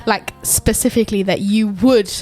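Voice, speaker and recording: A young woman hosting an interview, enthusiastic and effusive, with lots of fillers and quick reactions. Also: mid-range voice, fairly even intonation, warm tone, soft background music. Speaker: interview host. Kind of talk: casual interview chat.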